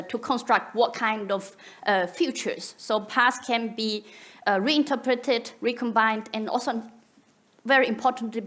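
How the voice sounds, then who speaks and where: A woman who is not a native English speaker, giving a conference talk with hesitant, searching phrasing. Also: fairly high pitch.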